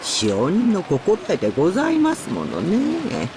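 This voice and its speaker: Casting: cheerful old maidservant, polite and theatrical, highly animated pitch swings, game dialogue over rain. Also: female voice, fairly quick. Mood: sly, amused. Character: cheerful cackling elderly female servant. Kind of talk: Japanese visual novel voice acting. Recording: rain noise behind dialogue.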